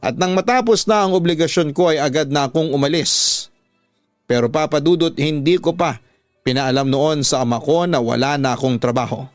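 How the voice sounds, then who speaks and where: Male radio drama host, Filipino accent, slightly high voice, storytelling narration over soft music. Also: moderate pace, no room sound.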